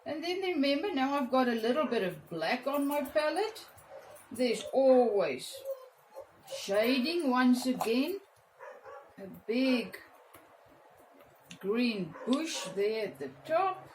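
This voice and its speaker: An Afrikaans-accented woman teaches painting in a slow, unhurried way, her pitch swinging high and low. She talks straight to her viewers as she works. The room is echoey, with a faint hum behind her. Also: big pitch swings, a little mellow. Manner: chatty, talks to viewers while painting, playful exclamations. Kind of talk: casual step-by-step painting lesson.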